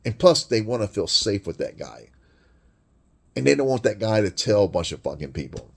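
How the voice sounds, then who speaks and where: A man with a deep voice delivering a blunt, crude, rambling monologue rant full of swearing. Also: big pitch swings, normal speed, vocal fry, breathy edge. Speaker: vlogger.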